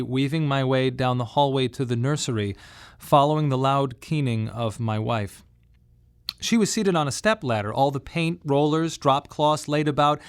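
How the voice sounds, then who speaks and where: Reflective man narrating a radio monologue. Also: mid-range voice. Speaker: playwright performing his autobiographical monologue. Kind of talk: scripted dramatic narration, radio monodrama.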